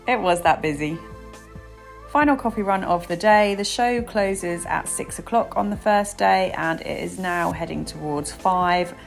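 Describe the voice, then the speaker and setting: A woman from England narrates her vlog in a lowish, chatty voice, upbeat and a touch breathless. She sounds a little bunged up. Music plays clearly under her voice. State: stuffy, bunged up. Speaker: vlogger and nail educator.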